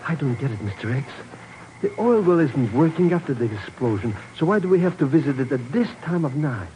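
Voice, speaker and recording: A man's voice: mid-range voice, sing-song, a little fast, close to an everyday voice. An actor playing a cowardly, slangy comic sidekick man in a 1950s radio drama, nervous and sputtering. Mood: uneasy. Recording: noisy old radio recording.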